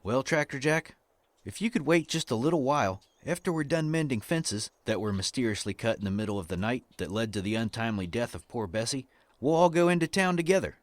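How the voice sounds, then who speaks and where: Man playing an earnest cowboy hero, lively pitch. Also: brisk, close to an everyday voice. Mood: reasoning. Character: earnest, neighborly wandering cowboy hero, rallying and kindly. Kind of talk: comic western audio drama acting.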